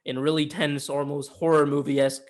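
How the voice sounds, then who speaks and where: Higher-voiced man in casual review chat. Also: flat delivery, normal speed. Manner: informal, sweary, emphatic. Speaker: podcast co-host reviewing films. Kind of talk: casual film discussion.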